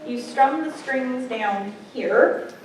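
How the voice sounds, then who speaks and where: Woman playing friendly kid pal, high, echoey. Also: slow pace, noisy background. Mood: encouraging. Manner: encouraging, easygoing. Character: friendly, accepting school friend who plays guitar. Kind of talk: staged reading of a children's musical. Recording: far mic in echoey hall, faint music.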